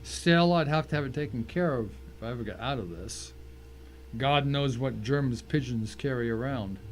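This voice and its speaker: A man's voice: medium pitch, moderate pace. Man narrating a thriller, faint background noise. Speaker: story narrator. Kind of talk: scripted first-person thriller narration.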